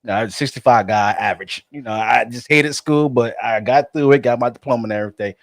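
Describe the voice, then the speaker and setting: A man in his thirties hosting a podcast, relaxed and halting, often restarting his sentences. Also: mid-range voice, no room sound, clean studio sound. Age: 30s. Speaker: podcast host. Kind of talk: casual podcast conversation.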